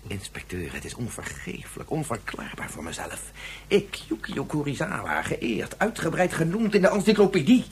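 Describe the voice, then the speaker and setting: An actor playing a vain, guilt-ridden inventor in a Dutch radio drama, speaking in a theatrical, confessional way. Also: male voice, fairly high pitch, wildly swooping pitch, moderate pace, slight background noise. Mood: ashamed, agitated. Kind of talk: Dutch science-fiction radio drama acting.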